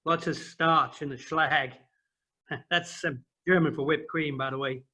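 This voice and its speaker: An actor in an audio play playing a helpful American official, reassuring and gently joking. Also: male voice, on the high side, fairly even intonation, unhurried. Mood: genial, joking. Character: helpful, genial American official living in Austria.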